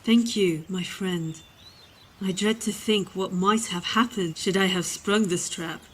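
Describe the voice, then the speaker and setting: A woman playing a calm, courteous fantasy cleric with formal, measured speech in a comic audio drama. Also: medium pitch, a little hiss or hum, natural voice. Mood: grateful, formal.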